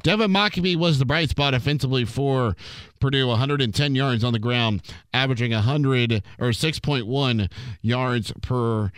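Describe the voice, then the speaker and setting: A man's voice: medium pitch, normal speed, a bit bright, smooth, no room sound. A male sports anchor with a mid-range voice delivers a scripted scoreboard report for radio. He reads scores and stats like a practiced announcer, in a very dry, close studio sound.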